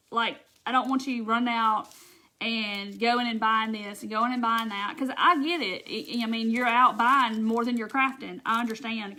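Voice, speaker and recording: A woman's voice: fairly high pitch, bright, forceful tone. A woman with a Southern US accent hosting a casual craft live stream, chatting folksily with viewers and reading their comments by name.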